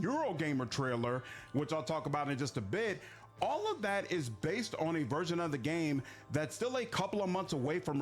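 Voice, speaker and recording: A man giving conversational, explanatory opinion commentary as a video game reviewer, measured but emphatic on key words. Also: fairly high pitch.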